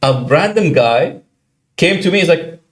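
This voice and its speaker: A man co-hosting a podcast, telling a video game story in a casual, animated way and acting out what other players said. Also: fairly high pitch. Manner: animated, quick, acts out quoted players. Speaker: podcast co-host.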